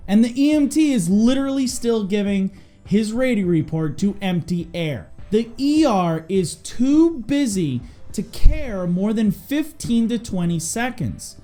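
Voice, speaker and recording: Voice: medium pitch, warm tone. An EMS instructor hosting a scripted lesson, speaking in an emphatic, direct way to fellow providers. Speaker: EMS instructor and video host.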